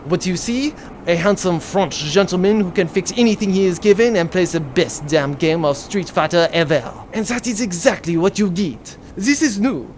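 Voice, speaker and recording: A man's voice: high-pitched, a little hiss or hum, lightly acted. A man playing a vain, flamboyant, roguish spaceship crewman in a comedic audio drama.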